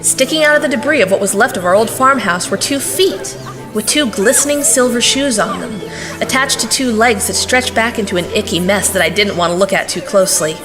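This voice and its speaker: A woman's voice: a little high, a little fast, over music, natural voice. A woman playing a young heroine who recounts her own adventure in wry, reflective first-person narration. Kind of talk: dramatic first-person narration for audio drama.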